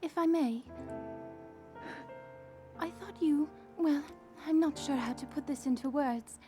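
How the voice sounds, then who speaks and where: A woman playing a shy, gentle young maiden in a video game cutscene, polite and hesitant in her speech. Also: high-pitched, slow, faint music behind. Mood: bashful, tentative.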